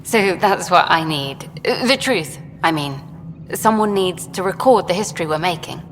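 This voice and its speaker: Eager, low-voiced documentary journalist. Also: female voice, expressive, moderate pace, a bit bright. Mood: friendly, persuasive. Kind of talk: video game dialogue acting. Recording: long hall reverb, faint noise.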